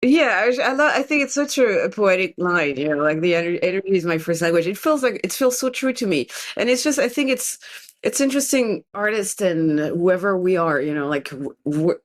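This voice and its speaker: A woman with a French accent, a native French speaker, speaking as a guest in a casual interview and telling her story in a lively, animated way with frequent restarts. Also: lowish voice, fairly quick. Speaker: guest, tarot reader.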